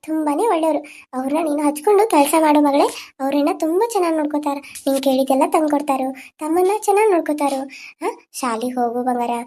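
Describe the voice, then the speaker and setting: Tender ghost mother, played by a woman: high, soothing, coaxing. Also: warm tone. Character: gentle ghost of a young mother.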